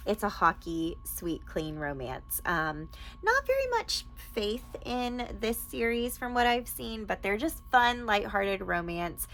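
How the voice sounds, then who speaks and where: Woman, lowish creaky voice, informal and enthusiastic vlog delivery, faint background noise. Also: a bit bright. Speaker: book reviewer and podcast host. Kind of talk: casual chatty book recommendation vlog.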